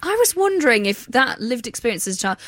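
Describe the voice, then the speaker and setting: A woman with a Welsh accent interviewing a fellow comedian, enthusiastic and quick to laugh along. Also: on the high side, very animated, fairly bright tone, no room sound, clean studio sound. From Wales. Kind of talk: casual interview.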